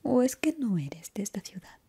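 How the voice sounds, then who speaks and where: A young woman whispering softly and breathily in a slow, soothing ASMR roleplay as a barber. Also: on the high side, big pitch swings, husky. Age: young adult.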